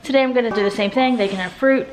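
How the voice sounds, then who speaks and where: A woman's voice: fairly high pitch, very fast, poor audio. A woman vlogging as a mother at home, talking casually in rambling, conversational asides. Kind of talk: casual vlog chat.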